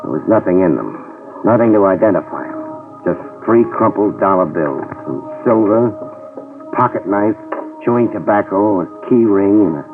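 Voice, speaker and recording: A tough noir private eye, wisecracking, low voice that swings a lot, tinny old radio with music. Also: male voice. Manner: wisecracking, tough, dry hard-boiled narration. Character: hard-boiled male private eye narrating. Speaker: private detective narrator. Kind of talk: 1950s radio detective drama.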